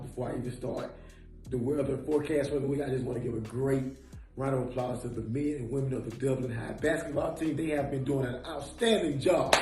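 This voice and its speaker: A man with a raspy voice giving a comic weather forecast, excitable and quick to laugh and shout. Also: mid-range voice, background noise. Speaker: weather segment presenter. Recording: echoey room with faint music.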